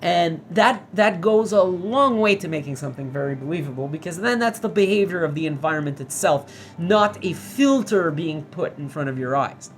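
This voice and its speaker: A woman's voice: on the low side, lively intonation, normal speed, slight background noise. Chatty, foul-mouthed woman gamer. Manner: chatty and profane, reads signs aloud. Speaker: VR game reviewer. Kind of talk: casual gameplay commentary.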